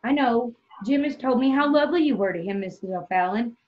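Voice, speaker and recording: A devoted, anxious young wife from a stage play played by a woman. Her voice is high and genteel, earnest and eager to placate. It comes through slightly muffled, like a video call. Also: animated, fairly quick, lightly acted. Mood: placating. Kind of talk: stage play table read. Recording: dull video-call audio.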